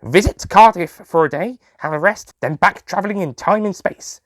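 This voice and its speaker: A man's voice: high voice, normal speed, no echo, pitched above the performer's own voice, natural voice. A man voicing an old Time Lord, a fussy, reassuring grandfather with old-fashioned, avuncular turns of phrase, in an audio story. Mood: brisk, decisive.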